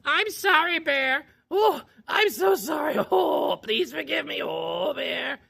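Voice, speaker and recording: A man voicing a small, cheeky female chipmunk with a squeaky, taunting voice in a told story. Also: slow pace, creaky, pushed and bright, raised voice, exaggerated voice. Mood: pleading. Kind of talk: oral storytelling.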